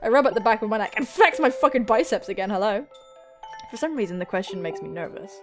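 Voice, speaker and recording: Animated young woman playing a visual novel. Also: medium pitch, fast. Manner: excitable, giggly, dramatic outbursts, sweary. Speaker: gaming video host. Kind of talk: let's play of a visual novel, reading text aloud with excited commentary. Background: music.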